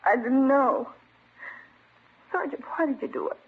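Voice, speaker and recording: Husky, anguished woman in love. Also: high-pitched, animated, a little slow, heavily acted. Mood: distraught. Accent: American. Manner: pleading, emotional. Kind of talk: 1950s radio crime drama acting. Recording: tinny, narrow old radio recording.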